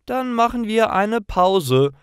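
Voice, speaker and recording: A male narrator puts on a young woman from a simple storybook, out with her friends. The voice is raised well above a normal narrating pitch. Delivery is expressive and unhurried, with a swinging melody. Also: smooth, dry recording, clean studio sound. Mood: encouraging. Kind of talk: acted dialogue in a learner's story.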